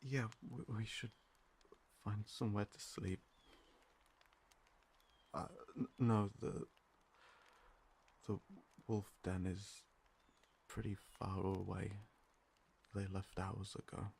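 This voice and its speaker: A performer acting a timid, shaken, tender survivor in an ASMR audio roleplay, halting and apologetic, with a husky, breathy, creaky voice. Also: sits low, fairly even intonation, a little mellow, slight background noise, heavily acted. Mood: weary, hesitant.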